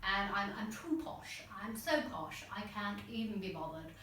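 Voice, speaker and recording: A woman voicing a bored upper-class snob in a low, loose-jawed, plummy drawl. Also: lively intonation, normal speed, pushed and bright. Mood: languid, haughty. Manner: pompous drawl. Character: posh, languid upper-class person too bored to articulate. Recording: roomy echo, distant mic, faint noise.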